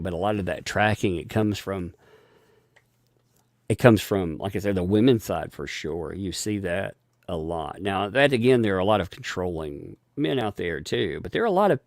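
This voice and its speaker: A man hosting a casual live stream, thanking viewers for donations and rambling with frequent restarts and chuckles; he has a gravelly voice. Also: sits low, lively intonation, moderate pace, no echo, clean studio sound.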